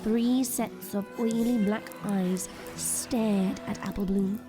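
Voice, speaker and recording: A woman narrating a scripted audio drama in a measured, ominous storytelling manner. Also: mid-range voice, fairly even intonation. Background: music.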